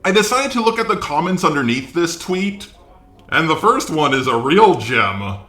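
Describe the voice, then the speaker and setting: A man's voice: a little high, sing-song, normal speed, sharp tone, echoey room. A man delivering scripted commentary in a sarcastic, exasperated way, with swearing. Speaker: commentary video creator.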